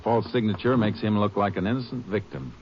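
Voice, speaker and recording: Low-voiced, terse Texas Ranger played by a man. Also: animated, brisk, close to an everyday voice. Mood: businesslike. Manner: terse, authoritative. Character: steady, shrewd Texas Ranger investigator, also narrating. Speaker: lead actor and narrator. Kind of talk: 1950s radio crime drama acting. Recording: noisy, muffled old radio broadcast.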